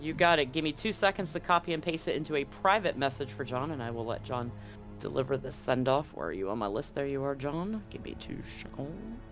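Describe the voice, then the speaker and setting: A man's voice: medium pitch, brisk, fairly bright tone, faint music behind. A man as game master of a tabletop roleplaying game, explaining rules and recapping in a chatty way with frequent ums.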